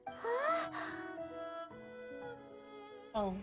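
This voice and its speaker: A tiny squeaky game companion creature, chirpy and naive. The voice is extremely high and swoops wildly, with loud music behind it. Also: female voice, wildly swooping pitch, drawn-out words, full character voice. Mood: curious, surprised. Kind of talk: cartoonish video game acting.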